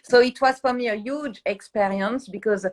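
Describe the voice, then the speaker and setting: A woman's voice: a little high, normal speed. A middle-aged woman from France with a French accent, a guest explaining her fashion PR work in a live interview, searching for her words in English.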